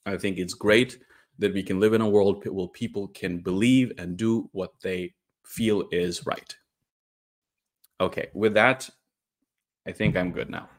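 A man's voice: low voice, steady tone, a little slow, pauses often. A young man from India telling a personal story to camera in a candid, self-correcting way. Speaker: vlogger.

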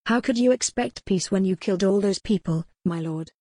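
A woman's voice: medium pitch, moderate pace, mellow, no room sound, studio quality, close to an everyday voice. A woman voicing a proud, defiant young noblewoman who speaks in an impassioned, accusing way in an audiobook. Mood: anguished. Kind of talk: audiobook character voice.

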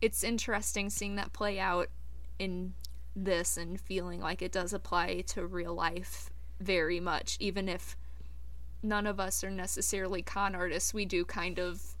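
A woman's voice: lowish voice, little pitch movement, measured pace, fairly bright tone. A woman co-hosting a podcast, talking over a film in a casual, reflective, conversational way. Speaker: podcast co-host. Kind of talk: casual film discussion chat.

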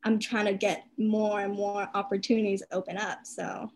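A woman's voice: medium pitch, flat delivery, normal speed, background noise. A young woman in casual conversation, talkative and loose. Her speech is full of fillers and she shares freely. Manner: talkative, many fillers like "like" and "you know". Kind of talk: casual chat.

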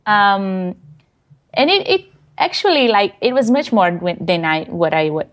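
A woman's voice: mid-range voice, lively intonation, unhurried. A Brazilian woman, a non-native English speaker with a Brazilian Portuguese accent, answering interview questions in a hesitant, casual way with many fillers. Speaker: guest author.

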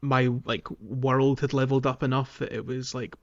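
A man's voice: medium pitch, steady tone, normal speed, dull audio. A man hosting a podcast, chatting casually and thinking aloud as he goes. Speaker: podcast host. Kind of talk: casual gaming podcast chat.

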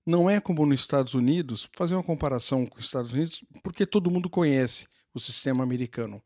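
A man's voice: medium pitch, quick pace, darkish tone, dry recording. Older guy, patient explainer, lots of uh's, dry close mic. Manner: patient explainer with many fillers and restarts. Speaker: guest. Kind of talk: casual explanatory conversation.

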